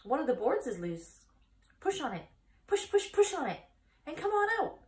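A woman voicing a bossy, excitable female goose from a children's storybook, chattering and repeating her phrases insistently. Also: high voice, normal speed, roomy sound, voice pushed up. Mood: urging.

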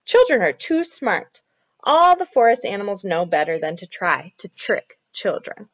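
Woman voicing a coaxing, lively storybook turtle. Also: medium pitch, unhurried, dry recording, dull audio. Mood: reassuring. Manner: coaxing, reassuring. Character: clever female turtle, sweet-talking, calm trickster.

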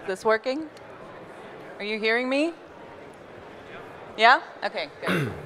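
A woman's voice: fairly high pitch, big pitch swings, a little slow, pushed and bright, slight background noise. Belgian woman with an American accent moderating. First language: not English. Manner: casual, loose, with frequent fillers. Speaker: panel moderator. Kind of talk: moderating a conference panel. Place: large conference hall stage. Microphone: handheld mic.

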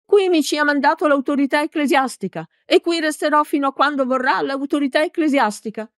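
A woman voicing a tiny, proud young male priest in a storybook, shrill, indignant and defiant. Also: a little fast, no echo, clean studio sound, lightly acted. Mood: shrill defiance.

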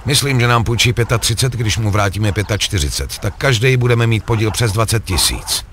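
An actor playing a cool, ruthless gangster in a video game, talking in coarse, persuasive slang. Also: male voice, lowish voice, expressive, a bit bright, a little hiss or hum. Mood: businesslike, persuasive. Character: scheming male gangster pitching a drug deal, cool and ruthless. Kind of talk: acted video game dialogue.

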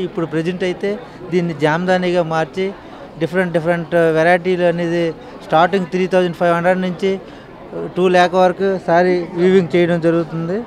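Man, middle-aged, high pitch, step-by-step explaining, handheld mic. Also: a little mellow. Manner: matter-of-fact, explains step by step. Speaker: weaver society head. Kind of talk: explaining products. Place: large indoor exhibition hall.

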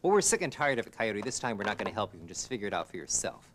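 A man's voice: on the low side, racing, noisy background, close to an everyday voice. A man voicing three scolding female huckleberry sisters, talking in a nagging, exasperated way.

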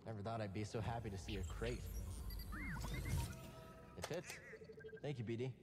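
A man's voice: fairly low pitch, normal speed, in a large hall, over loud music, natural voice. An actor playing a young male game hero who talks to his droid companion in an understated, friendly way. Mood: relieved. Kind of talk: video game dialogue.

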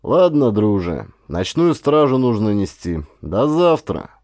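An easygoing Cossack frontier sergeant, acted out by a male reader, rough-hewn and folksy. His colloquial speech has lively pitch, in adventure audiobook style. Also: unhurried, no echo, clean studio sound. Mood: tipsy, resigned.

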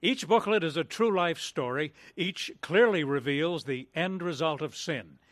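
Highish-voiced male radio announcer, dry sound. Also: measured pace, fairly bright tone, no echo. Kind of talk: scripted narration and appeal.

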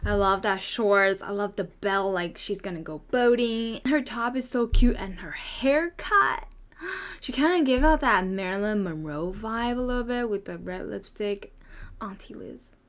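A woman's voice: mid-range voice, expressive, measured pace, fairly bright tone. Bubbly young woman reaction vlogger. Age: young adult. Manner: bubbly, chatty, gushing. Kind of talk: casual reaction commentary. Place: bedroom, indoors.

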